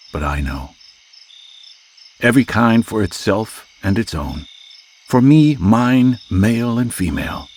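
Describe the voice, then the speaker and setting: A man reciting poetry dramatically as a voice artist, with measured, declamatory delivery and deliberate pauses between phrases. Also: low-pitched, darkish tone, slight background noise. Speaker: voice artist reading poetry aloud. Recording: music and nature soundscape behind voice. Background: music, chirping insect sounds.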